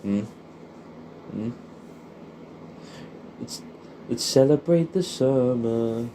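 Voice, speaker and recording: Man, English accent, mellow dark tone, matey, rambling, sweary, nostalgic casual chat, slight background noise. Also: medium pitch, lively intonation, drawn-out words, rough recording. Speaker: audio storyteller addressing an old friend. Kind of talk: casual intimate monologue, reminiscing.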